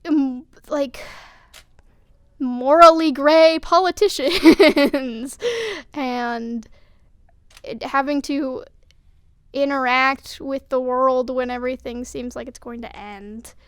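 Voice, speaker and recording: A young woman, an actor, talking reflectively in an interview in a halting, thoughtful way. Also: sits high, fairly even intonation, clean tone, no echo, studio quality. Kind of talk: reflective interview talk about a production.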